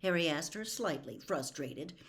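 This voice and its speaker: A woman reading a novel aloud as an expressive storybook narrator. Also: low voice, normal speed. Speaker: audiobook reader.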